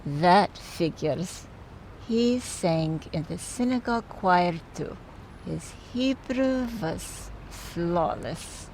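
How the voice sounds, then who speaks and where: A woman acting in an audio drama as a wry, skeptical mourner, talking in a measured, conversational way over background noise. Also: fairly low pitch, animated, darkish tone, heavily acted, low-quality recording. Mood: uneasy, subdued.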